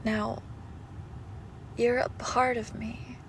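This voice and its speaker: A woman's voice: a little high, flat delivery, drawn-out words, slight background noise, low-quality recording. A woman playing a possessive young female shadow monster posing as a doting girlfriend in an intimate horror audio roleplay, speaking tenderly and menacingly, often slipping toward a whisper. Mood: possessive, sweet.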